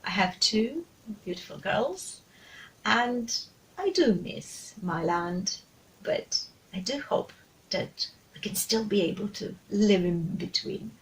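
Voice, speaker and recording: A woman, a soprano singer, introducing herself softly and wistfully. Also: mid-range voice, lively intonation, takes it slowly, low-quality recording. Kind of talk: self-introduction.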